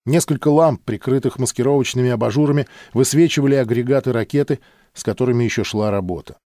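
A man's voice: medium pitch, quick pace, warm tone, no room sound, clean studio sound. A man reading a novel aloud as a scripted, dramatic audiobook narration.

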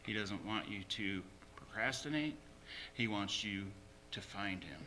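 A man's voice: lowish voice, animated, measured pace, sharp tone, slight background noise. A man preaching a sermon as a pastor, emphatically repeating short phrases.

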